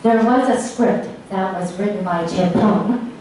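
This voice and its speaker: A woman answers questions after a lecture, picking her words slowly and thoughtfully, with some hesitation. Her voice sits at a medium pitch. She is recorded from far off in a strongly reverberant room. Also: dark tone. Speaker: academic speaker answering audience questions. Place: large echoing lecture room, distant mic. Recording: echoey hall from far off, faint noise.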